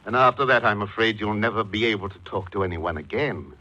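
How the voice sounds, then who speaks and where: An actor playing a florid, courteous and menacing blackmailer with pompous, theatrical diction in a 1940s radio mystery. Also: male voice, fairly low pitch, lively intonation, a little fast, pushed and bright. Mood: insistent, threatening. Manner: pompous, elaborately polite, theatrical. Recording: dull 1940s radio recording.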